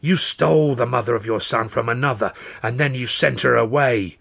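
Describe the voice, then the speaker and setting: Man acting a croaking old crone. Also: medium pitch, fairly bright tone. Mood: ominous. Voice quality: croaking, shrieking. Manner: slow, ominous, article-dropping delivery. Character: menacing old female fortune teller, stern crone.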